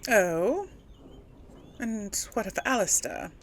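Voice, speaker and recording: A woman hosting a casual video game role play, speaking her character's lines in a thoughtful, unhurried way. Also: mid-range voice, sing-song, slight background noise. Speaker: let's play host, role-playing the player character.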